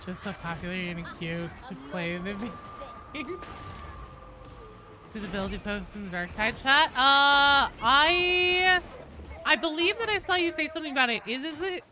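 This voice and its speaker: A woman's voice: fairly high pitch, expressive, fairly quick, pushed and bright. A woman in her late thirties chatting casually on a game livestream and reading out viewer messages, informal and sweary. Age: late 30s. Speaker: game streamer.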